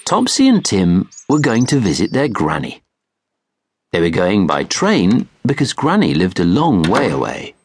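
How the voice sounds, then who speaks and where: A man's voice: sits low, wildly swooping pitch, measured pace, over music. A man narrating a children's storybook as an audiobook reader.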